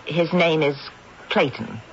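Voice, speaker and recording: A woman's voice: sits low, slow pace. An actress in a vintage radio drama playing a mild, helpful elderly lady with a polite, earnest manner. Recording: dull, slightly noisy old radio recording.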